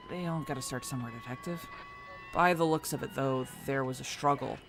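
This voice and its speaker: A man's voice: medium pitch, moderate pace, lightly acted. A man playing a frank, casual police officer briefing a superior in an audio drama, matter-of-fact and conversational. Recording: noisy scene, siren ambience behind.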